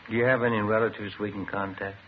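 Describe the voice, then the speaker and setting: An actor playing a steady, methodical police detective in a 1950s radio drama, matter-of-fact and understated. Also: male voice, on the low side, quick pace, close to an everyday voice. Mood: gently probing. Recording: muffled, noisy old radio recording.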